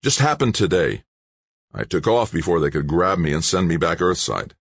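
Man playing a deep, wry, defiant space adventurer. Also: very animated, moderate pace, creaky, breathy edge, dry recording, exaggerated voice. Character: cocky, battered male space tycoon on the run.

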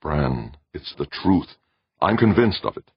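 Man playing a deep, steady, earnest man. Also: breathy edge, no room sound, lo-fi sound, heavily acted. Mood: controlled. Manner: controlled, deliberate. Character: steady, earnest man, convinced and holding his temper. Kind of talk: audiobook character voice.

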